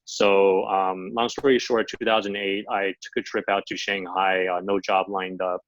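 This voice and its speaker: An American man in his thirties from Los Angeles, a guest on a podcast, telling his background in a relaxed way with lots of "um" and "you know". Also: low voice, normal speed, dry recording, dull audio. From United States (Los Angeles). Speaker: guest, Amazon seller and sourcing coach. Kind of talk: podcast interview, casual storytelling.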